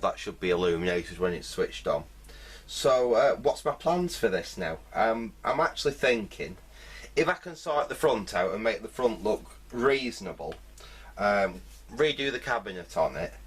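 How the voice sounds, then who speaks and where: Low husky-voiced man, thinking aloud. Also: a bit bright. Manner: rambling, hesitant, thinking aloud. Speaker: vintage radio repair video host. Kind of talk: casual hands-on commentary.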